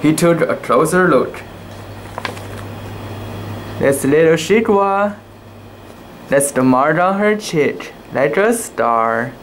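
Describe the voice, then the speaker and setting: A young man reading a children's book aloud in a casual, halting way, with cooing asides about the pictures. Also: fairly high pitch, expressive, a little hiss or hum, low-quality recording. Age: young adult.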